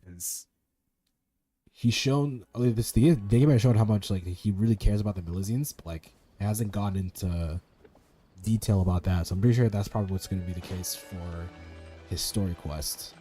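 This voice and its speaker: Mellow man doing game commentary. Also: fairly low pitch, normal speed, soft background music. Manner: sweary, slangy. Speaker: gaming streamer. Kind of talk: casual playthrough commentary.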